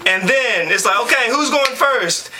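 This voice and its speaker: A young man from Louisville, Kentucky, telling a story in a casual, animated way, with slang and frequent laughs. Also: extremely high pitch, moderate pace, crowd noise. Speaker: audition video co-presenter.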